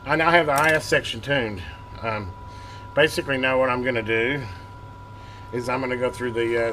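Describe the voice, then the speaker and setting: A man's voice: medium pitch, pushed and bright, rough recording. Casual man demonstrating over background noise. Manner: informal, explains step by step while working. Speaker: host of a hobby electronics repair channel.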